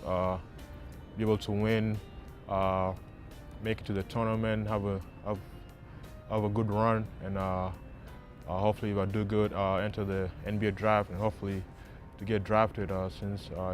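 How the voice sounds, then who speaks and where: A young man from South Sudan, a college basketball player, answering interview questions haltingly with many fillers, on a lapel mic in a dark empty arena. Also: lowish voice, little pitch movement, faint music behind. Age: young adult.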